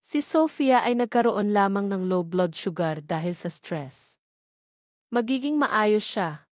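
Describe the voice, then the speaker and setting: Calm doctor guy reassuring ppl, woman narrator reading him, dry sound. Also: medium pitch, slow, no room sound.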